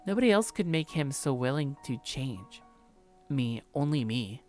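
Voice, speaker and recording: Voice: mid-range voice, sing-song, measured pace, darkish tone, soft background music. A performer voicing a clingy, possessive young woman from a visual novel in a sweet, coaxing manner. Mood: obsessive, gleeful.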